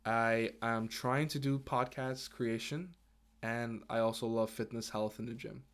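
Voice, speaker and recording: A man in his twenties who grew up in Guyana, hosting his first solo podcast episode in an earnest, halting way, with restarts and fillers. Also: medium pitch, fairly even intonation. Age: 20s. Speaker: podcast host. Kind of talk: casual solo podcast talk.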